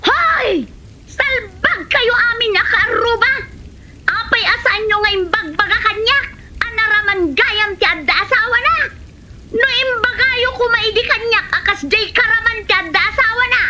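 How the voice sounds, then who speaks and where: A woman with an Ilocano Filipino accent playing an excitable, indignant married village woman in an amateur comedy drama, exclaiming and scolding. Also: very high voice, normal speed, very noisy. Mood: furious shouting. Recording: dull lo-fi audio, music behind.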